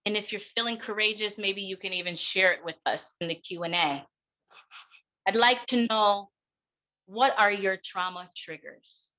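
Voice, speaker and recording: A woman delivering a keynote speech in a deliberate, emphatic manner, breaking phrases with dramatic pauses. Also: mid-range voice, pushed and bright, poor audio. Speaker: keynote speaker, counseling clinician.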